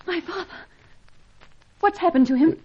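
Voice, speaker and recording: High-voiced distressed young damsel. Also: female voice, normal speed. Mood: alarmed. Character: distressed, helpless young damsel, grieving daughter. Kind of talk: old-fashioned radio serial acting. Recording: dull, band-limited old radio recording.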